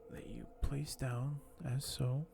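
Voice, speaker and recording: A soft-spoken man hosting an ASMR unboxing, talking in a hushed, halting way that often nears a whisper. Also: medium pitch, steady tone, creaky, slight background noise. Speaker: ASMR video host.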